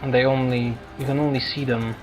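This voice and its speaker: A man's voice: mid-range voice, flat delivery, a little fast, low-quality recording. A man giving casual, rambling commentary on his own video game playthrough, thinking aloud as he plays. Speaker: gamer narrating own playthrough. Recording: game music behind voice. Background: video game music.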